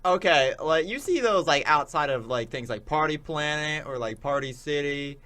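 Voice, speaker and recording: A man's voice: on the high side, animated, normal speed, sharp tone. A young man co-hosting a radio show in casual, chatty banter. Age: young adult.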